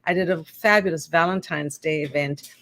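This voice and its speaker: An older woman with a South African accent, a perfumer being interviewed on a talk show, telling her stories with animated quoted voices. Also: deep voice, moderate pace. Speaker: guest, perfumer.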